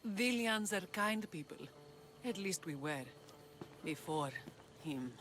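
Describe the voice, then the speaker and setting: Passionate rebel leader, swooping pitch. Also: female voice, animated, slow pace, a bit bright, slight background noise. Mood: wistful. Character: passionate young female rebel leader in ancient Greece. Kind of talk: video game cutscene acting.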